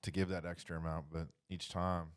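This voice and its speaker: A man with a low, resonant voice leads a casual faith discussion. His intonation stays quite flat. He reflects as he goes, halting and padding phrases with "you know". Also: clean tone.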